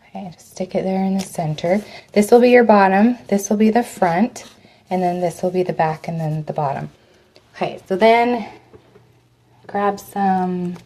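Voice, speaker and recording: Woman w/ a cold, kinda raspy, chatty live craft demo, walks thru each step, voice fades when she leans away to work. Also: medium pitch, a little mellow, a little hiss or hum. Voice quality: slightly raspy. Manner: chatty, step-by-step instructions with fillers. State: hoarse from a cold. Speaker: craft host.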